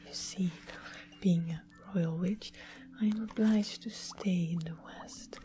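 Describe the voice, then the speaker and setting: A woman acts out a royal witch from a medieval fantasy ASMR roleplay, sweet and sly. She speaks in a hushed, breathy whisper at a low pitch, coaxing and deliberate. Clear ambient noise and music sit behind the voice. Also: steady tone. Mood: coaxing, businesslike. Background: soft music and ambience.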